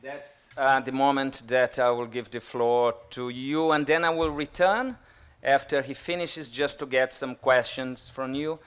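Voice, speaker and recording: A man with a smooth, clear voice at a middle pitch hosts a conference. He introduces a speaker off the cuff, effusive in his words and a little halting in delivery. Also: steady tone, fairly bright tone. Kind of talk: unscripted speech of introduction.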